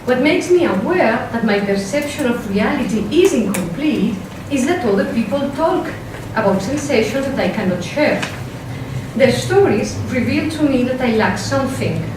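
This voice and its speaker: A woman's voice: fairly high pitch, animated. Spanish-accented woman lecturing in an echoey hall. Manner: careful, measured delivery with hesitant fillers when speaking freely. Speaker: philosopher giving a workshop talk. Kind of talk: academic lecture, partly read from a script. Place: lecture room. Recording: echoey lecture hall, mic from a distance, noisy.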